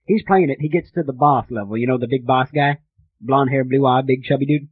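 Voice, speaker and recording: A man's voice: mid-range voice, fairly quick, slightly rough, close to an everyday voice. A man playing an indignant, clueless father on a prank phone call, rambling and stammering as he complains. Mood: puzzled complaining. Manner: rambling, stammering, repetitive, easily offended. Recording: tinny phone line.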